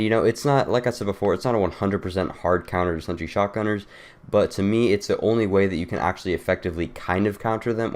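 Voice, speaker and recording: Low-voiced, congested young man. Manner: rambling, loose, many fillers and restarts. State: congested, nasal from a cold. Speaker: gaming YouTuber. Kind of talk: casual game guide walkthrough.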